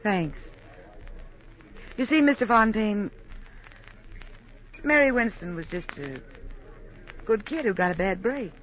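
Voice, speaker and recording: An actress playing a cool, guarded nightclub singer on the run in a 1950s radio drama, evasive and clipped. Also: female voice, mid-range voice, lively intonation, slow pace, long pauses, a little hiss or hum. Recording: dull vintage radio recording.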